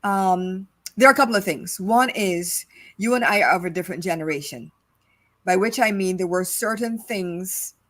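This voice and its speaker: Middle-aged Barbadian woman, podcast co-host, emphatic and repetitive, mid-pitched voice. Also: normal speed, a bit bright. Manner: emphatic, repeats phrases for stress. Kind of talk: casual discussion.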